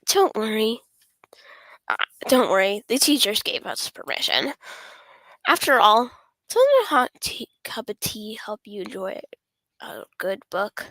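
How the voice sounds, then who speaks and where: A woman puts on a shy teenage bookworm from a visual novel. She speaks politely and hesitantly in a high voice that moves up and down a lot, close to the mic. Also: very animated, a little slow, pauses often, a bit bright, dry recording, heavily acted, poor audio. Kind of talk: visual novel character read on stream.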